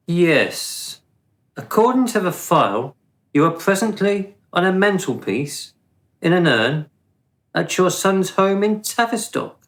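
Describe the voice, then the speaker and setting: Man playing a smug, slow-spoken afterlife bureaucrat. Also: sits high, full character voice. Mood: officious. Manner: condescending, drily mocking, deliberate. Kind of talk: radio play acting.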